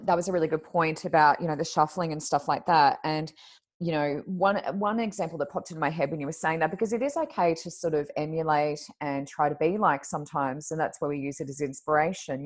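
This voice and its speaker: A woman with a naturally deep voice, chatting casually as a podcast co-host, easygoing and full of fillers. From Australia. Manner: relaxed, hesitant with fillers. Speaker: podcast co-host and card reader. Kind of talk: casual conversational chat.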